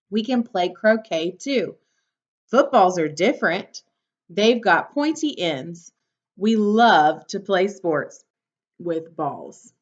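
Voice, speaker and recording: A woman teacher reading a picture book aloud to young children, instructing and prompting them with questions. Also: mid-range voice. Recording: dull, lo-fi sound.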